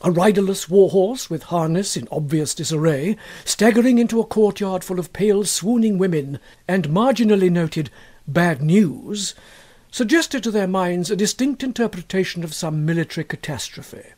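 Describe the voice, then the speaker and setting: A man narrating a literary short story in a measured, expressive storytelling manner. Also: high-pitched. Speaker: audiobook narrator.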